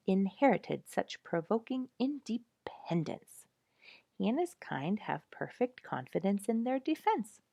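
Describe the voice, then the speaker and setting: Expressive, low-voiced woman reading a story aloud. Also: gravelly, no echo, studio quality. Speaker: audiobook narrator reading a nature memoir. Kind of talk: expressive scripted story narration.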